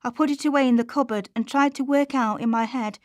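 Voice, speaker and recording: A woman's voice: sits high, fairly even intonation, quick pace, dry recording, natural voice. A woman playing Chantelle, an anxious struggling mother confiding in a radio play monologue. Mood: downcast, confiding. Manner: confiding, plain-spoken, colloquial.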